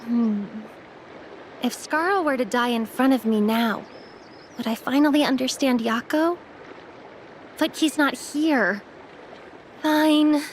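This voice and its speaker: A woman voicing a brooding, softly determined young woman in an anime game, musing to herself over some background noise. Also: on the high side.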